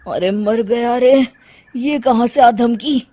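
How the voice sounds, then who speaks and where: A woman doing a nervous henpecked young guy in a cartoon, kinda high voice, dry close sound, flustered. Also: takes it slowly, warm tone, dry recording. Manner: flustered, placating. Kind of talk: Hindi cartoon story voice acting.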